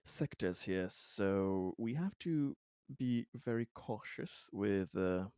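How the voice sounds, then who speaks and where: A man acts out a careful corporate executive in a tabletop game. He is lowish in pitch and formally polite, feeling his way through long, halting sentences. The sound is close and dry. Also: animated, mellow, smooth, dry recording. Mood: measured, diplomatic. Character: cautious, diplomatic male corporate executive.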